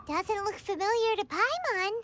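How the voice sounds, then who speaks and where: A woman voicing a small, excitable, childlike fairy companion in an anime-style video game, with a squeaky voice and perky, emphatic manner. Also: moderate pace. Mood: uneasy, musing. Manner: perky, emphatic, refers to self by name. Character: small childlike flying fairy girl companion, excitable, talks in third person. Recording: loud background music.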